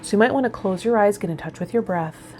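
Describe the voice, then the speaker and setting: A woman's voice: mid-range voice, fast. A woman hosting a spiritual vlog, talking informally and reflectively, straight to her viewers. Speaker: tarot vlogger and host. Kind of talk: casual spiritual vlog talk, partly reading card meanings.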